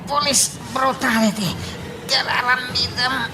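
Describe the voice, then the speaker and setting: A woman's voice: sits high, steady tone, slow pace, background noise. An actress playing a defiant, bitter woman in a radio drama, accusing and melodramatic. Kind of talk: Ilocano radio drama acting. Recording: loud music bed behind the voice.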